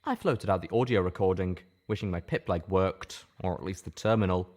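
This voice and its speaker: A man's voice: low-pitched, fairly quick, darkish tone. A man reading scripted first-person fiction aloud as an audiobook narrator.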